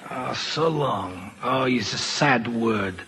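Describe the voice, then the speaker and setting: An actor playing a cheerful, big-hearted Mexican carousel operator with a Mexican accent in an old radio drama. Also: male voice, medium pitch, lively intonation, takes it slowly, bright, forceful tone, slight background noise, heavily acted. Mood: jovial, warm. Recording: old radio broadcast recording.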